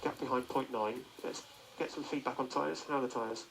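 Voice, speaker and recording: A man's gravelly voice comes over a team radio as a race engineer. There is faint noise on the transmission. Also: medium pitch, steady tone, brisk, a bit bright, low-quality recording.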